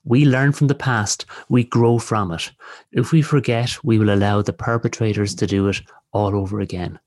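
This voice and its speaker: A man hosting a podcast, reading a scripted intro and putting questions to his guest in a measured, courteous way. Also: fairly low pitch, steady tone, a little mellow. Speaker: podcast host.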